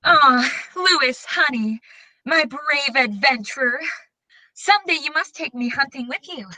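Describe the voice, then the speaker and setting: A woman's voice: sits high, expressive, unhurried, pushed and bright, heavily acted, rough recording. A woman playing an adoring, gushing sweetheart in a 1940s-style radio comedy.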